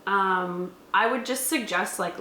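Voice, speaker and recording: A woman's voice: mid-range voice, a little slow, sharp tone. A woman co-hosting a review, explaining things in a conversational way. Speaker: co-host of a tabletop game review. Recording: roomy echo, faint background noise.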